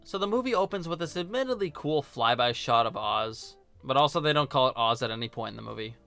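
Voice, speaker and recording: A man's voice: mid-range voice, lively intonation, quick pace, creaky, bright, forceful tone, clean tone, soft background music. A man giving scripted video-essay commentary in a sarcastic, informal, joking manner. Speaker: video reviewer and host.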